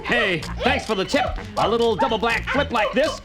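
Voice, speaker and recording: A man's voice: extremely high pitch, animated, normal speed. An actor playing a young, wisecracking male superhero in a 1970s radio play, upbeat and quippy, talking to himself. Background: music score.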